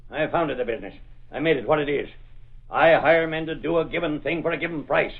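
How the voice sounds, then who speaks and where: An actor playing a stubborn, blustering old businessman in a 1930s radio drama, gruff and easily flustered. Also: on the high side, brisk. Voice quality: gravelly, husky. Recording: dull old radio recording.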